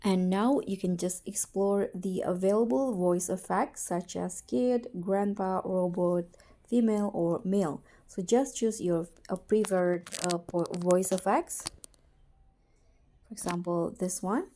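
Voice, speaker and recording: Woman, low-pitched, very mellow and soft-edged tone, casual tutorial narration, halting delivery. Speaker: tutorial host.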